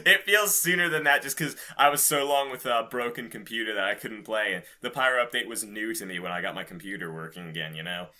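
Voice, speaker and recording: A man's voice: fairly low pitch, fast talker, hardly any pauses, sharp tone. A man co-hosting a podcast, chatting casually and informally about video games with loose, slangy talk. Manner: informal, slangy, mildly profane. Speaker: podcast co-host.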